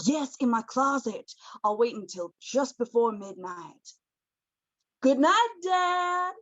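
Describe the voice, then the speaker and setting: A woman's voice: sits high, animated, takes it slowly, a bit bright, highs cut off, full character voice. A woman playing an eager, boyish son in a radio play. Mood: excited. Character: eager youthful son helping his father, boyish.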